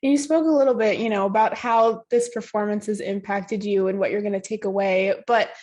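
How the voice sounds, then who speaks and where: A woman's voice: mid-range voice, steady tone, fairly quick, a little mellow. Casual woman interviewer, filler-heavy questions. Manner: loose, filler-heavy questions with "like" and "you know".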